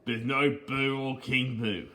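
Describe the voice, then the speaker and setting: A man giving rambling, casual commentary on video game cutscenes, speaking haltingly with repeated phrases and earnestly explaining small details. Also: medium pitch, fairly even intonation, bright, forceful tone. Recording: game music behind the voice.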